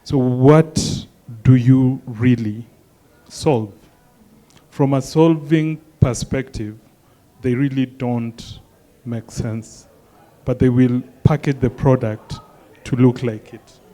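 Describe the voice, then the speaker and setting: A man's voice: medium pitch, steady tone, slow pace, pauses often, dark tone. A man speaking on a panel, searching for words and restarting his sentences. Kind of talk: panel discussion.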